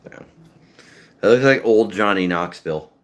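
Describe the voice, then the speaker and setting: A man's voice: fairly low pitch, moderate pace. A man from Massachusetts, a voice actor, chatting casually and rambling with lots of fillers and swearing. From Massachusetts, North Shore. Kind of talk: casual livestream chat.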